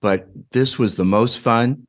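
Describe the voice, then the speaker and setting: A man's voice: mid-range voice, slow pace. A man speaking as a podcast co-host and author, telling stories and chatting. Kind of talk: dramatic story narration and casual chat.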